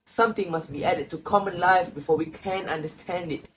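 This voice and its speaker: A sorrowful old grandfather in a stage drama, acted by a man. His voice sits a little high and moves slowly and solemnly through reflective, literary lines. There is faint noise behind him. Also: a bit bright. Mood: grave, reflective. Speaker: student actor. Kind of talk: literary stage play acting.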